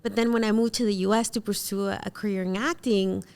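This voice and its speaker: A woman's voice: mid-range voice, steady tone, fast, creaky, a little mellow, smooth. A woman from Venezuela, a native Spanish speaker, hosting a casual panel and telling personal stories emphatically. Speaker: panel host, actress.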